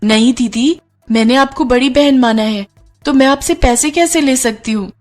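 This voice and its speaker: A woman's voice: high voice, normal speed, soft background music, voice pushed up, close to an everyday voice. A woman voicing a humble, eager young woman in a cartoon story, speaking in a sweetly earnest, deferential and hesitant way. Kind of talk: Hindi cartoon story dialogue.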